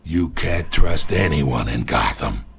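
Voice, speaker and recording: An actor playing an older, injured crime boss in a video game, with a gravelly voice and a smug, taunting, familiar manner. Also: male voice, extremely low pitch, expressive, slow pace, slight background noise, exaggerated voice. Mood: bitter, knowing. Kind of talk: dramatic video game acting. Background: loud music.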